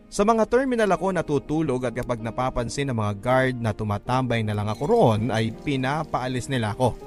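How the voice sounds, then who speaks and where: A man's voice: mid-range voice, lightly acted. A man playing a remorseful young son from a poor family, narrating emotionally with a Filipino accent in a radio drama. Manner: emotional, confessional. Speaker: narrator telling his life story in a radio drama. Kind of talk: dramatic first-person letter narration.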